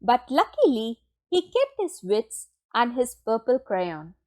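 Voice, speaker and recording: Slow, high-voiced woman reading a storybook. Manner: expressive, deliberate storytelling with dramatic pauses. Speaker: storybook reader. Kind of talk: children's picture book read-aloud.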